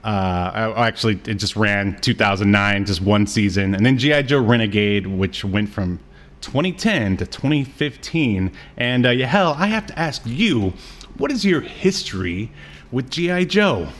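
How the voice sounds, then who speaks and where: A man's voice: lowish voice, expressive, normal speed. A man in his late thirties co-hosting a podcast in casual chat, with a jokey, wry manner. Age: late 30s. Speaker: podcast co-host. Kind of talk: casual chat about old cartoons.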